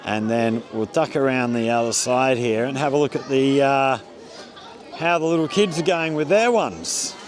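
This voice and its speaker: A man's voice: mid-range voice, normal speed. An Australian man casually narrating a walk-around vlog at an outdoor festival, with easygoing tour-guide commentary. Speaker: vlogger. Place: outdoors at a busy public festival. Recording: loud crowd noise outdoors. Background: crowd chatter.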